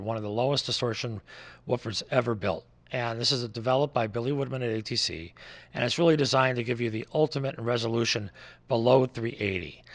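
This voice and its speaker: A man gives an informal, conversational product overview, explaining things as a distributor would. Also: medium pitch. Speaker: loudspeaker distributor presenting products.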